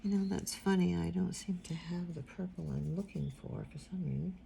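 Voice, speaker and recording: An older woman with a quiet, soft-spoken voice giving a casual painting tutorial, rambling and trailing off into mumbles as she works. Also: fairly low pitch, moderate pace, gravelly, slight background noise. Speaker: watercolor instructor.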